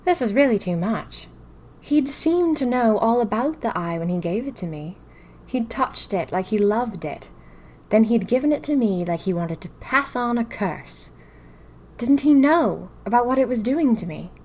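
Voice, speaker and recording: Casting: female actor as dramatic, curious young woman of the twenties telling her diary, expressive audio-drama storytelling. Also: a little high, moderate pace. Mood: restless, intrigued. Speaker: audio fiction narrator. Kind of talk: first-person diary narration in a fiction podcast.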